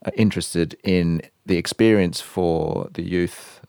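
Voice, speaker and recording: A man in his fifties with an Australian accent, speaking as a guest in a radio interview, thoughtful and measured, with many hesitations. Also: low voice, steady tone, vocal fry, dark tone, clean tone, no room sound, clean studio sound. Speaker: guest, violinist and conductor.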